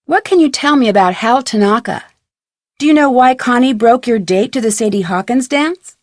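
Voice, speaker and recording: A woman's voice: on the high side, expressive, normal speed, no echo, studio quality, close to an everyday voice. A woman playing an inquisitive, polite teenage girl detective in an adventure game, with earnest scripted delivery. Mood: curious.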